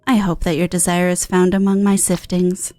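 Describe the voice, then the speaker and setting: Woman putting on odd, low-pitched shopkeeper creature Cipher. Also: little pitch movement, normal speed, dark tone, close to an everyday voice. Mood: courteous. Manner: stilted, formal.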